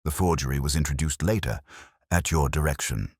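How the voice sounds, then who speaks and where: A man voicing a shrewd, calmly relentless detective in an audiobook mystery, speaking in formal, measured, incisive phrases. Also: rumbling low, slightly rough, no room sound, clean studio sound. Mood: triumphant.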